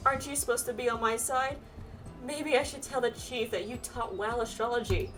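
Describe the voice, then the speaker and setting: Woman playing a playful, high-voiced young fantasy pilgrim girl. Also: fairly even intonation, brisk, fairly bright tone, recorded from a distance, soft background music, lightly acted. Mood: pleading, teasing. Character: playful, impatient, teasing young female pilgrim in a fantasy game.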